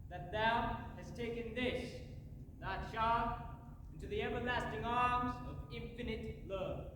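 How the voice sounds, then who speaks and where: A woman's voice: a little high, steady tone, unhurried, natural voice. A woman acting a solemn preacher praying at a funeral in a school stage play. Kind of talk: high school one-act stage play. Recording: echoey auditorium, far mic, background hiss.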